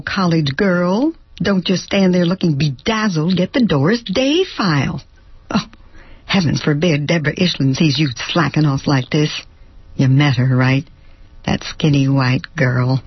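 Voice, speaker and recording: A woman voicing a bossy, sassy female office boss who barks orders, in a low husky voice. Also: no room sound, dull audio, full character voice.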